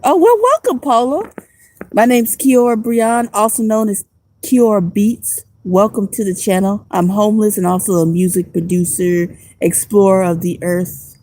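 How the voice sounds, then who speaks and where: Woman, homeless vlogger, slangy, rambling, easygoing, phone livestream, mid pitch. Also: moderate pace, dark tone, poor audio. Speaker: homeless vlogger and music producer. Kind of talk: casual livestream chat. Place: outdoors at night.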